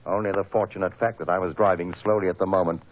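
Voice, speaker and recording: An actor playing a proud, wealthy motor car inventor in an old radio drama, emphatic and earnest, with a husky voice. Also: male voice, low-pitched, steady tone, fast talker, a little hiss or hum, lightly acted, poor audio.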